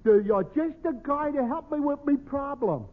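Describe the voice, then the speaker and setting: Lovable New dope, literal-minded, widely lilting voice, tinny 1940s radio comedy recording. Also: male voice, very high-pitched, expressive. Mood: eager, pleased. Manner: dopey, slow, literal-minded. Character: dim-witted, good-natured, slow male tavern regular. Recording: tinny, faintly noisy old radio recording.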